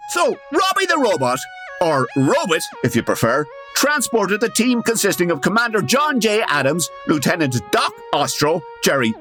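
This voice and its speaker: A woman's voice: fairly low pitch, very animated, moderate pace, over music. A woman narrating a comedy audio drama from a script in a wry, arch manner.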